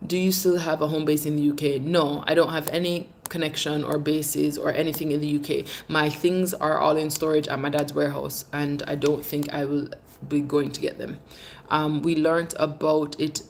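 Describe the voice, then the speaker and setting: A woman's voice: low voice, vocal fry, darkish tone, slight background noise. A woman in her thirties from England with a mixed British and Jamaican accent, chatting casually on a livestream and fondly calling viewers babes and darling. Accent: British English mixed with Jamaican. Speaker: livestream host. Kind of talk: casual live chat, reading viewer comments.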